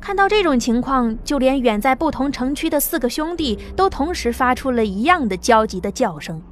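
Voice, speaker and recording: A woman narrating an audio drama in a steady storytelling manner. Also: high-pitched, fairly quick, slight background noise.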